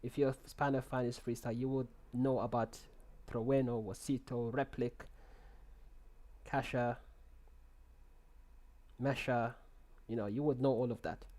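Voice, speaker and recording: A young man talks casually through a headset on a live trading stream. He answers chat messages as they come in, often restarting and repeating words. His voice sits at a middle pitch for a man. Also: warm tone. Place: small room indoors.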